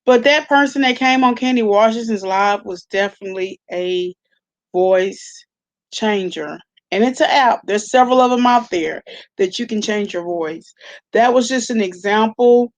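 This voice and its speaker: A woman's voice: medium pitch, moderate pace. A woman hosting an online commentary channel, chatting casually and informally in a gossipy way, with salty slang and frequent check-ins with the listener. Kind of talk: casual chatty reaction vlog.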